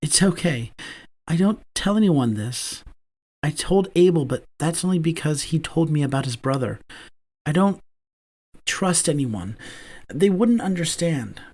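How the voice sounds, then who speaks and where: A man voicing a shy, gentle young man who speaks softly and hesitantly, close to tears. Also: on the high side, animated, unhurried, long pauses, pitched above the performer's own voice. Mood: tearful, hesitant. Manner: soft, hesitant, shaky.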